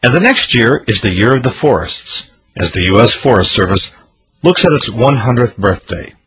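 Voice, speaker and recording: A man's voice: mid-range voice, animated, moderate pace, fairly bright tone, breathy edge, poor audio. A man hosting a radio show, reading an earnest, promotional year-in-review script.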